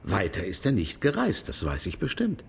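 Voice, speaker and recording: A man's voice: on the low side, wildly swooping pitch, normal speed, vocal fry, slight background noise, exaggerated voice. An actor playing a stout, dismissive London publisher in his fifties, formal and emphatic, in a German radio drama. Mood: firm denial.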